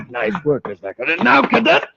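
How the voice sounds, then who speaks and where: Casting: evasive, scheming executive villain, animated lower voice, muffled vintage radio drama. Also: male voice, a little slow, heavy background noise, exaggerated voice. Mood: menacing. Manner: smooth-talking, scheming. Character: sly, evasive businessman villain. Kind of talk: 1950s radio serial acting. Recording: dull old radio broadcast recording.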